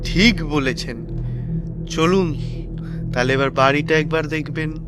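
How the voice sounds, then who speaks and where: A man's voice: on the high side, measured pace, natural voice. A man playing an evasive house seller in a Bengali audio drama, reassuring and dismissive, repeating himself insistently. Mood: obliging. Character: evasive, insistent lone man selling his eerie house, Sadashib. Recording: loud background music.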